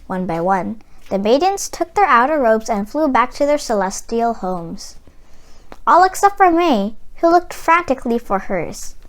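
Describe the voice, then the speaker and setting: A woman reading a children's storybook aloud in a careful, expressive storytelling delivery. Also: a little high, measured pace. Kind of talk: expressive scripted storybook narration.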